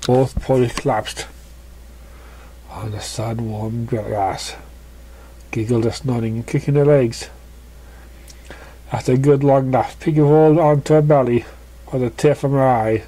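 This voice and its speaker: Mellow, halting male story reader. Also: mid-range voice, fairly even intonation, slight background noise. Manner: halting reading with misread words. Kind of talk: scripted narration.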